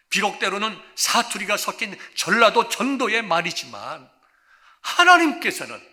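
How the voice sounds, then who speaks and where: A male pastor delivers a sermon in a high, very bright voice. His pitch leaps and falls freely. He drops to near whispers, then builds to loud, emphatic declarations, drawing out the words he stresses. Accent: Korean.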